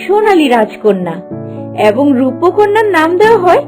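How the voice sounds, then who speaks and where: Very high-pitched, animated woman storyteller. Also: moderate pace, soft background music. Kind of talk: scripted fairy-tale narration.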